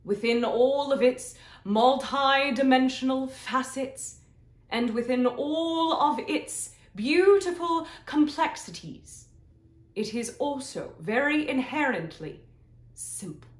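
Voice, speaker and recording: A woman voicing a benevolent, serene extraterrestrial guide in a guided meditation, with deliberate, hypnotic, repetitive phrasing and long pauses. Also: high voice, expressive. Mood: mystical, measured. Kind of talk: slow channeled guided meditation. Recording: roomy echo, soft music behind.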